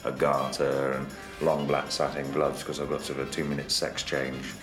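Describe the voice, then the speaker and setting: A man with a bass voice, an actor recalling a stage role in a chatty interview, with hesitant fillers. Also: monotone, normal speed, music in the background. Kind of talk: relaxed interview recollection.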